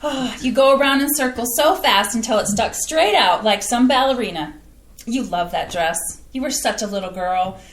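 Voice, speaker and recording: A woman's voice: fairly high pitch, moderate pace, slight background noise, close to an everyday voice. An actress playing a fussy, affectionate small-town mother who gushes and flusters, in a staged play reading. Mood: fond. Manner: flustered, gushing, exclamatory. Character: warm fussy small-town mother, middle-aged woman. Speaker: actor playing Claire, the mother. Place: community playhouse stage. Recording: echoey stage from a distance.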